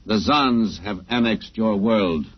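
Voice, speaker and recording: A cold alien invader who talks in formal, literal, emotionless phrases. The voice is low and rough-edged. It comes from a vintage radio play with dull, muffled sound. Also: male voice, takes it slowly, no room sound. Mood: cold, matter-of-fact. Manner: stilted, formal, emotionless delivery. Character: cold, literal-minded alien conqueror of Earth. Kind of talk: old-time radio drama acting.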